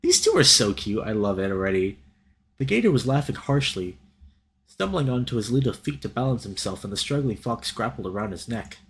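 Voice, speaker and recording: A man reading a visual novel aloud as a let's play host, in an expressive, dramatic storytelling style with casual reactions. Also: mid-range voice, fairly quick. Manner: animated, dramatic reader.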